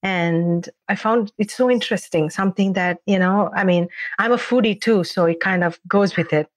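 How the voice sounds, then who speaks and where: A woman from Sri Lanka, a baker and cafe owner, answering interview questions in a passionate, halting way. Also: mid-range voice, no room sound. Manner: warm, passionate, halting with fillers.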